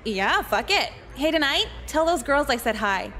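An actress voicing a jaded, manipulative teenage schoolgirl in a visual novel with deadpan sarcasm. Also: sits high, moderate pace, some chatter behind, a little hiss or hum, exaggerated voice. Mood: mock-sweet.

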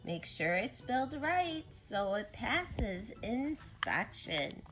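A woman reading a rhyming picture book aloud to young children in an animated story-time style, with deliberate phrasing. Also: a little high, faint music behind, rough recording.

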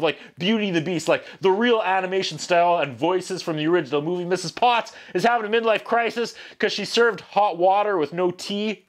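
Male vlog host, 40s, high voice, sarcastic and exasperated comedic review delivery, animated. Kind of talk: comedic scripted-style review rant to camera. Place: small indoor room.

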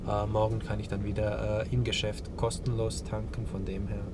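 Young male vlogger, slightly low voice, relaxed and full of hesitations, car cabin with noisy background. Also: normal speed. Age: young adult. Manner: relaxed, many filler sounds, thinking aloud. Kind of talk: casual vlog talk to camera. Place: inside a car, parked in snow. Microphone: camera mounted on the dashboard.

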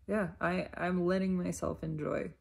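A woman giving a reflective self-help monologue, unhurried and emphatic, often repeating her phrases. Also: on the low side, steady tone, creaky, husky.

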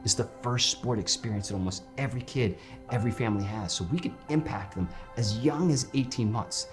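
A man's voice: medium pitch, a little fast. A man speaking passionately and conversationally as a company executive in a promotional interview. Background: music.

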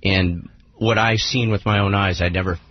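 Low, raspy man on talk radio. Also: steady tone, moderate pace, no echo. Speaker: call screener on radio show. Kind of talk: casual on-air talk. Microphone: studio microphone. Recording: dull lo-fi radio broadcast.

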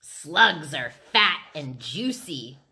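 A woman voicing a silly, excitable little boy in a children's storybook. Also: sits low, drawn-out words, exaggerated voice. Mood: cheeky, eager.

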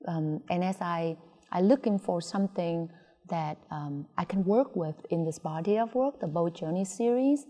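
A woman with a Vietnamese accent giving reflective interview answers as an artist, thoughtful and halting. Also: fairly low pitch, mellow. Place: large indoor museum gallery. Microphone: lapel. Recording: reverberant gallery room.

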